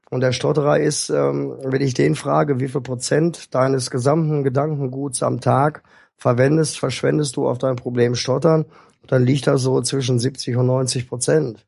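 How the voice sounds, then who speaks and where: A man speaking as a guest speech therapist, explaining things in a calm, instructive and measured way. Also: medium pitch, vocal fry, slightly rough, no echo, clean studio sound. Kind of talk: explanatory interview talk.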